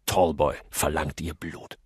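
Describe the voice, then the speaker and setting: A man's voice: rumbling low, level tone, a little slow, darkish tone, breathy edge, no echo, studio quality, pitched below the performer's own voice, heavily acted. A man voicing a flippant, teasing male office colleague in an audiobook.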